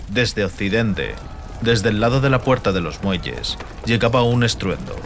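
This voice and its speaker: A man reads a fantasy audiobook as narrator, with a solemn, measured and theatrical delivery. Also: on the low side, a little hiss or hum. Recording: music bed under the voice. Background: music.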